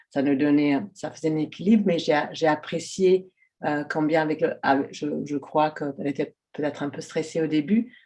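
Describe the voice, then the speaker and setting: A woman's voice: deep voice, mellow. A woman who is not a native speaker of the language, giving feedback on a video call, hesitant and stumbling with many restarts. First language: not French. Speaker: participant. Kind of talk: spontaneous feedback.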